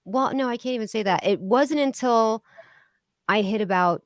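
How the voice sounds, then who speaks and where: A woman's voice: on the high side, moderate pace, dry recording, studio quality. A middle-aged woman hosting a casual group chat, teasing and asking questions. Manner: chatty, teasing, asks questions. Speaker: host.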